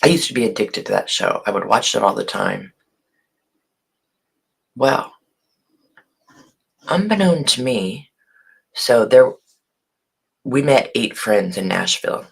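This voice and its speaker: A hoarse woman who has partly lost her voice, chatting casually on a live stream and greeting viewers by name. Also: a bass voice, lively intonation, takes it slowly, long pauses. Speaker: online shop owner hosting a live video.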